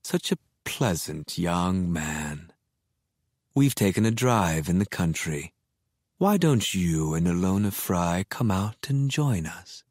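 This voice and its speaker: A woman voicing a smooth-voiced, menacing male kidnapper in an audiobook, purring and icy. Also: extremely low pitch, lively intonation, darkish tone, no room sound, clean studio sound, voice pushed down, full character voice. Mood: icy menace. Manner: icy, slow and threatening. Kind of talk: audiobook character voice.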